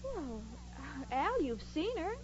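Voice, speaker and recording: A woman's voice: sits high, big pitch swings, takes it slowly, a little mellow, exaggerated voice. An actress playing a dim-witted, sweet, eager young woman in naive, earnest 1940s radio comedy style. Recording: muffled, noisy old radio recording.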